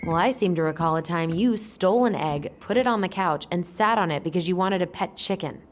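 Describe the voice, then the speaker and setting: Actress as low-voiced, supportive young American woman. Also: brisk, a little hiss or hum, close to an everyday voice. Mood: teasing. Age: young adult. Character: teasing, supportive young woman, loyal sibling. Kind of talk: naturalistic game dialogue.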